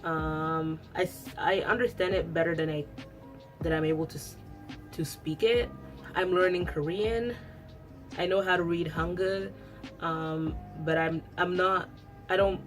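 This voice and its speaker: A young woman whose first language is Italian, chatting casually to camera at home, rambling with lots of restarts. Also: fairly low pitch. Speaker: beauty vlogger. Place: at home after a shower.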